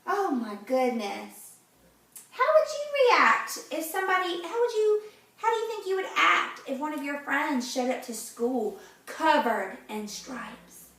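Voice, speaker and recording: A woman reading a picture book aloud to children in a gentle, teacherly way, pausing to ask them questions. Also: high voice, expressive, distant mic. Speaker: read-aloud host for children. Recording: very echoey room, off-mic.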